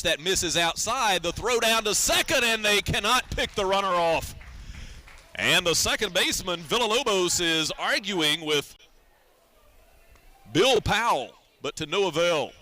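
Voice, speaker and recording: A man calling baseball play-by-play on the radio in a live sports commentary style, with measured, deliberate phrasing. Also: a little high, sharp tone.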